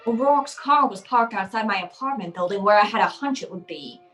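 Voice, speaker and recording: A woman's voice: medium pitch, lively intonation, a little fast, music in the background, close to an everyday voice. A woman playing a tough, terse hardboiled private eye in an old-time radio detective play. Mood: dry narration. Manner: terse, no-nonsense.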